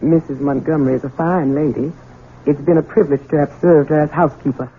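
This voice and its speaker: Husky, anxious housekeeper. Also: female voice, sits low, steady tone, fast. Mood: humble, guarded. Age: middle-aged. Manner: humble, folksy. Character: anxious, deferential older housekeeper, protective mother. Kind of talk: 1970s radio drama acting. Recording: tinny 1970s radio recording.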